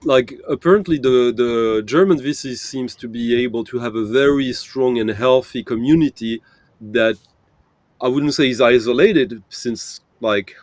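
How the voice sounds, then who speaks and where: A man's voice: mid-range voice, unhurried, no echo. A man chatting as a panel guest over a video call, thinking aloud with many fillers and self-corrections. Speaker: panel guest, vinyl channel creator. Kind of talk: casual panel chat.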